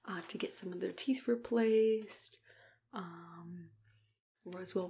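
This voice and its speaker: A young woman in an ASMR roleplay as a dentist, speaking in soft whispers in a gentle, unhurried, reassuring manner, close to the microphone. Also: lowish voice. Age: young adult.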